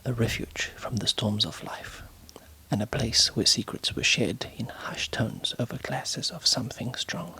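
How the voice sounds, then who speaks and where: A man's voice: medium pitch, unhurried, creaky, bright, forceful tone, rough recording. A man whispering as he reads and edits a story aloud, with hesitant fillers and self-corrections. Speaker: writer editing a story aloud. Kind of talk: whispered ASMR story editing.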